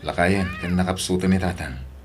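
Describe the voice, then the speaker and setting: Eldest son for radio drama, male actor: deep, firm, earnest, with clear background noise. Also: steady tone, moderate pace, lightly acted. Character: firm, dutiful eldest son scolding younger siblings. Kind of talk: Ilocano audio drama acting.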